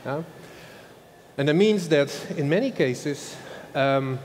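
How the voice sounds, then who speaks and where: A man's voice: on the high side, lively intonation, slow pace, darkish tone. A man with a Dutch accent, a marketing executive giving a loose, chatty conference talk, often tagging lines with "huh?". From Netherlands. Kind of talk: conference presentation. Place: large old event hall. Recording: long hall reverb, faint background noise.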